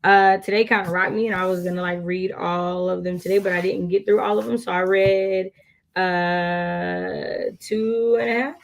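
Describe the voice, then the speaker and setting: An American woman co-hosting a casual book chat, telling things in an animated, slangy way. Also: fairly low pitch, normal speed, vocal fry. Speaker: book readalong co-host.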